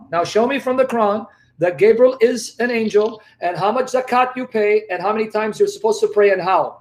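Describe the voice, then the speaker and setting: A man with a notably high voice argues in a live debate. He presses his point insistently and confrontationally, repeating demands and interrupting as he goes.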